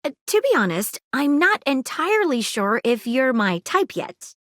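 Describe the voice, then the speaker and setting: A woman's voice: sits high, sing-song, moderate pace, fairly bright tone, no echo, studio quality. A woman voicing an eager, inquisitive young female detective in a video game, earnest and full of facts. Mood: chatty, curious. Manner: earnest, inquisitive, fact-loving. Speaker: voice actor. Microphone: studio mic, dry booth.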